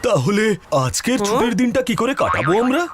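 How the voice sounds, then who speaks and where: A man's voice: very high voice, sing-song, fairly quick. A man voicing a gullible, anxious but caring middle-aged father in a children's cartoon, earnest and exaggerated. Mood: relieved. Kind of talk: Bengali children's cartoon dub acting. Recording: clear music bed behind the voice. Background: cartoon music.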